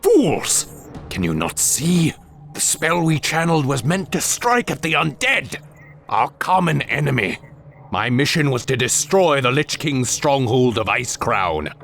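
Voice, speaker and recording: An actor playing a brooding, proud sorcerer villain in a fantasy game, menacing and imperious, with theatrical delivery. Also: male voice, medium pitch, big pitch swings, unhurried, gravelly, fairly bright tone, slight background noise, heavily acted. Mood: indignant. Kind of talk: dramatic game cutscene acting.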